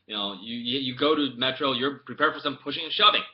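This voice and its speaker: A young man talks to his laptop camera in a casual, rambling way and keeps restarting his sentences. His voice sits at an ordinary middle pitch. It jumps between low mutters and sudden loud bursts, and the laptop recording sounds dull and lo-fi. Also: fast talker. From United States. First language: English. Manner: rambling storytelling with many restarts, swinging from mumbled asides to loud outbursts. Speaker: vlogger. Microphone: laptop webcam mic.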